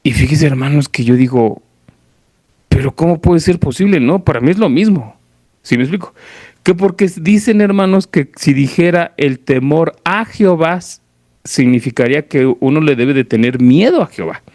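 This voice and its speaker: A man's voice: on the high side, expressive, moderate pace, darkish tone, no room sound. A man with a Mexican accent preaching an informal, didactic sermon, explaining conversationally and often checking that listeners follow. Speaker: preacher at a church service. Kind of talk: informal sermon with Bible reading.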